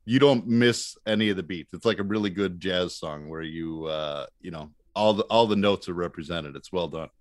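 Easygoing man, a slightly deep, dry-sounding podcast guest. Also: moderate pace, hardly any pauses, no echo. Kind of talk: casual chat.

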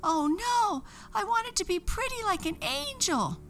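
Broccolina, a jealous young witch girl in a storybook, screams her accusations in a piercing high voice that leaps about wildly, as a woman puts her on. She scolds without pause, her tone shrill and raw with rage. Soft music plays faintly behind the reading. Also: big pitch swings, vocal fry, exaggerated voice. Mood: horrified. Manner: screeching, scolding.

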